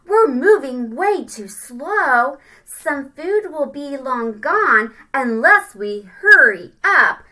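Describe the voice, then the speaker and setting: A woman voicing the littlest ant in a storybook, small, bossy and eager, with urgent, drawn-out emphatic words. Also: high voice, big pitch swings, pushed and bright, pitched above the performer's own voice, heavily acted, low-quality recording.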